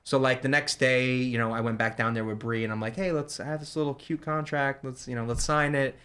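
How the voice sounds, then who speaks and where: Fast-talking man telling a story. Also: medium pitch. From New Jersey. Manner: fast, animated retelling with quoted dialogue. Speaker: guest, ice cream shop owner. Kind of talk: casual storytelling.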